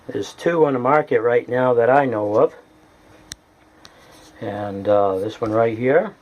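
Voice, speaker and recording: Man, medium pitch, very slow delivery, halting, deliberate, plain-spoken, casual hobbyist demonstration. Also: a little mellow, lo-fi sound. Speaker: hobbyist presenter demonstrating radio gear. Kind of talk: casual hands-on tutorial.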